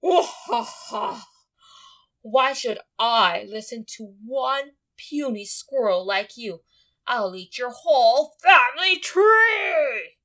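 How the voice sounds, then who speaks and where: A big mocking grizzly bear out of a storybook, put on by a woman reader. He taunts loudly and slowly, with a bright, forceful edge. Every word is stretched with scornful menace. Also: on the high side, animated, voice pushed up, exaggerated voice. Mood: mocking, menacing. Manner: loud, slow, drawn-out taunting. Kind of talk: storybook read-aloud character voice.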